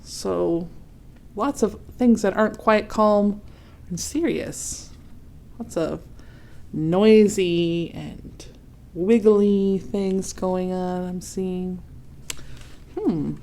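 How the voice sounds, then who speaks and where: A woman reading a children's storybook aloud expressively, pausing to ask her young listeners questions and add comments. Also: medium pitch, steady tone, dark tone. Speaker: read-aloud host.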